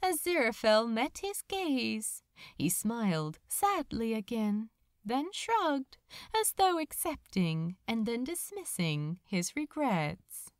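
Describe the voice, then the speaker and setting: Female fiction narrator: dramatic, unhurried, very varied intonation, dry sound. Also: on the high side, big pitch swings, no room sound. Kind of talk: dramatic scripted story narration.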